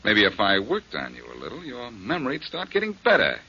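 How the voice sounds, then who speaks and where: A hard-boiled, sardonic detective in a 1940s radio drama. His voice is low and husky yet bright and sharp, and it swings widely in pitch. The sound is muffled, like an old broadcast. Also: huge pitch swings, brisk, exaggerated voice. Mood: menacing. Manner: menacing, sardonic. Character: tough, wisecracking male detective.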